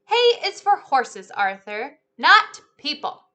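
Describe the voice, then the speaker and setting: A fussy, proper storybook father, played by a woman. The voice is bright and raised high, stretching words emphatically as it exclaims and corrects. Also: very animated, slow, full character voice. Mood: scolding.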